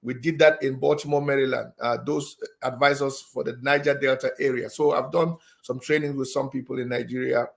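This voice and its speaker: Gravelly middle-aged man from Cameroon. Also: medium pitch, fairly even intonation, brisk, few pauses. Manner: hesitant, many ums and uhs. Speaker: guest. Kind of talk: interview storytelling.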